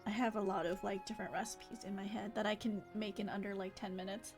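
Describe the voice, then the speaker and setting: A woman's voice: mid-range voice, little pitch movement, quick pace. A woman livestreaming a game, chatting in an informal, rambling way. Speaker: live streamer playing an online game. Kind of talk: casual livestream chat and reading game dialogue aloud. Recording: music behind the voice. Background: game music.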